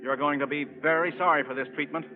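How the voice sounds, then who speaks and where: An actor playing a self-important, blustering and indignant doctor in a 1940s radio drama. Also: male voice, a little high, fairly even intonation, fast talker, lightly acted. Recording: tinny phone-like old radio recording.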